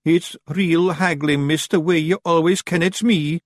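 A man's voice: a little high, steady tone, unhurried, no room sound, studio quality, pitched above the performer's own voice. A man voicing a tiny, nervous, cheeky fairy man, wheedling and squirming. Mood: sheepish.

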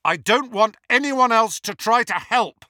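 A man's voice: a little high, animated, unhurried, bright, forceful tone, dry recording, clean studio sound. A man voicing a brave teenage wizard in an audiobook, speaking with firm, earnest defiance. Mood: resolute.

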